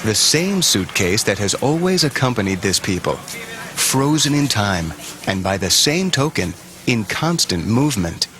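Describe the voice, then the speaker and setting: A man reading a documentary narration in a measured, solemn way. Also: fairly low pitch, a bit bright, slight background noise. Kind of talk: scripted narration. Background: music.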